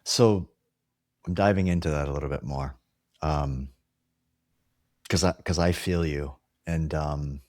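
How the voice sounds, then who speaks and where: A man from Hawaii hosts a podcast interview with a very deep, low voice. He rambles a little, filling pauses and restarting his sentences. The recording is close and dry, with no room sound. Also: darkish tone, dry recording. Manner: rambling, many fillers and restarts. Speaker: podcast host. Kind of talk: casual interview.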